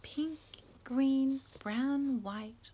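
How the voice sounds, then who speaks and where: A woman in her forties reading a picture book aloud to young children, putting simple questions to them in an animated way. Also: high-pitched, drawn-out words, slight background noise, rough recording. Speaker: children's storytime host and reader. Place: small room at home, indoors.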